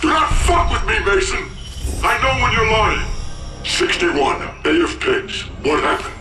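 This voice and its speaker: A stern male interrogator from a game cutscene, pressing coldly and insistently. His voice echoes and swings widely in pitch over loud music. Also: sing-song, a little slow. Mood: angry, demanding. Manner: cold, demanding, insistent. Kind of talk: video game cutscene acting. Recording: echoey, under dramatic music.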